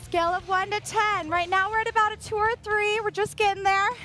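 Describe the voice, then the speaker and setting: A woman leads a workout as a fitness instructor, talking in a very high voice. She coaches with motivation and encouragement over background music. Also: flat delivery, brisk, sharp tone. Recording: music behind, played from a workout video.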